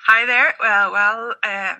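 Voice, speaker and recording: A woman with a Swedish accent, a physician from Sweden, guests on a podcast. She asks thoughtful, probing questions. Her voice is very bright and sharp, at a middle pitch. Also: steady tone, slow pace, gravelly. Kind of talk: podcast interview.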